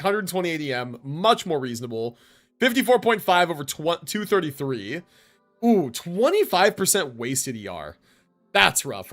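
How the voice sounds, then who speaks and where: High-pitched man, animated livestream commentary. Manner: casual, mild swearing, thinking aloud. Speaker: live game streamer. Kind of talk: casual livestream commentary reviewing game builds.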